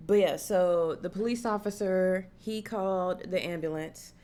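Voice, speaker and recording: A young woman vlogger telling a personal story in a casual, animated way, slipping into the voices of the people she quotes. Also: on the low side, a little slow. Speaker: horror vlogger.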